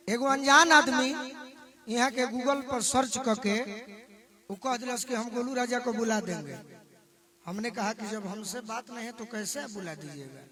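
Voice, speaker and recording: A man with a Bhojpuri accent speaking emphatically to a gathering, switching between Hindi and Bhojpuri. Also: extremely high pitch. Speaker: singer. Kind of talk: impromptu public address to a gathering. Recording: loud crowd chatter around the speaker. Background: crowd.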